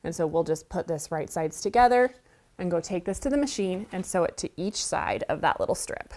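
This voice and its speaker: A woman's voice: on the low side, expressive, brisk, darkish tone. A woman from the hosting a quilting tutorial. She talks casually as she works and explains each step, and she sounds a little stuffy from a cold. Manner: chatty, walks through steps while working. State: has a cold, sniffling. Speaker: quilting show host. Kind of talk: friendly sewing tutorial. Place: sewing studio indoors.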